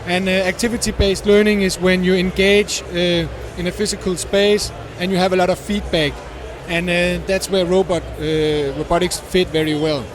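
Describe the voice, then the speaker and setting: A man giving explanatory interview answers at a busy conference, halting and searching for words as a startup representative. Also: sits high, crowd noise. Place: busy tech conference venue. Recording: noisy event hall, steady din.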